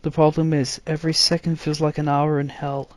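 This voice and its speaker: Guy reading fantasy story out loud, dramatic narration, voice a bit high, no room echo. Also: level tone, fairly quick. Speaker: narrator, reader.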